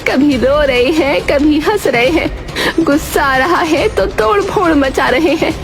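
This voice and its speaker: A woman doing a shrill, scolding village wife. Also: measured pace. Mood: furious. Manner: scolding, shouting. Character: sharp, furious village wife. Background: background music.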